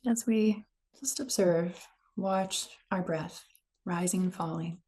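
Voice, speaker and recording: A woman leading a guided meditation in a gentle, unhurried, soothing manner. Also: lowish voice. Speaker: meditation teacher.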